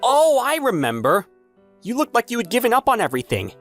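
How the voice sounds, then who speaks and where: A man's voice: very high voice, wildly swooping pitch, a little fast, fairly bright tone, faint music behind, heavily acted. A man playing an earnest, polite young salesman who narrates his own story in a manga dub. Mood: gentle.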